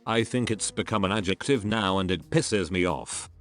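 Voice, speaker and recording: A man narrating a series of online posts in a steady read-aloud style. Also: low voice, moderate pace, faint music behind. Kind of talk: scripted narration.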